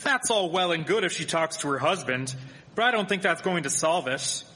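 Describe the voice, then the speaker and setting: A skeptical, blunt townsman of the 1870s, acted by a man in a live radio play. His voice is forceful and fairly high, ringing in an echoey hall. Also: lively intonation, moderate pace. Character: grumbling, skeptical townsman in 1873, fond of parties. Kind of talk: live radio drama acting.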